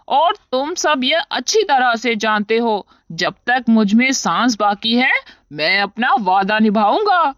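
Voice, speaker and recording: A stern, honourable cartoon lion king, acted by a woman, commanding and solemn. The pitch sits fairly high. The recording is dry and slightly muffled. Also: fairly bright tone, dry recording. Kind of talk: animated moral story acting.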